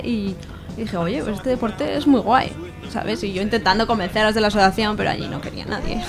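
A woman with a Spanish accent chatting casually and colloquially as a radio interview guest. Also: fairly high pitch. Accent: Spain. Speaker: roller derby player, interview guest. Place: community radio studio. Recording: music clearly behind the voice. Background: music.

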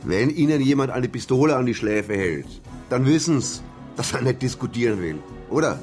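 An actor playing a sly, evasive nightclub manager in a radio drama. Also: male voice, mid-range voice, expressive, moderate pace, darkish tone, faint music behind, close to an everyday voice. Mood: guarded. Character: evasive, smirking male nightclub manager in Czech border region. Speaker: club manager. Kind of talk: naturalistic radio drama acting.

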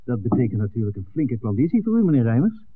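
An actor playing an eager, easygoing filmmaker with an eye for women in a 1960s Dutch radio drama. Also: male voice, medium pitch, big pitch swings, quick pace. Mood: eager, persuasive. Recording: muffled, narrow old radio recording.

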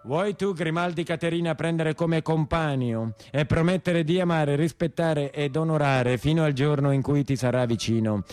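A man's voice: fairly high pitch, moderate pace, few pauses, a little mellow, smooth, no echo, faint music behind, natural voice. A man with a southern Italian accent playing a pompous, greedy village priest who declaims solemnly in a theatrical radio play. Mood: solemn, preachy.

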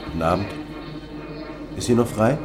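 Actor as earnest low-voiced writer, music behind. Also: male voice, moderate pace, close to an everyday voice. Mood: reserved. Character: earnest, idealistic male writer newly arrived in town. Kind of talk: German radio play dialogue.

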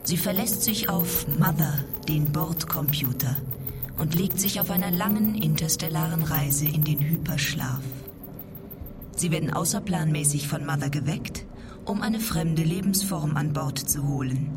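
A woman's voice: sits low, a little slow, over loud music. A woman doing scripted radio narration as a wry, dramatic storyteller of science-fiction disasters.

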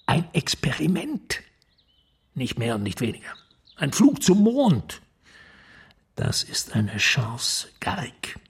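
An actor playing an urgent, persuasive police prefect in a radio crime drama, speaking formally with theatrical emphasis and pauses. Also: male voice, mid-range voice, huge pitch swings, husky, exaggerated voice. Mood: insistent. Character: urgent, smooth-tongued high police prefect, persuasive and secretive.

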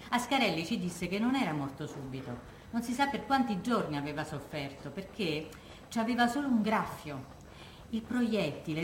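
A woman's voice: fairly low pitch, close to an everyday voice. Female, Roman accent actress: raw, haunted widow of the people, colloquial stage monologue, roomy and noisy recording. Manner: colloquial, emphatic, rhetorical questions. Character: working-class Roman widow, raw, haunted by wartime massacre. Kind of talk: theatrical testimony monologue. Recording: echoey room with background noise.

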